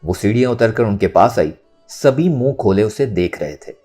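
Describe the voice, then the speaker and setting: A man narrating a novel in a dramatic, expressive storytelling style. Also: on the low side, normal speed, faint music behind. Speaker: audiobook narrator.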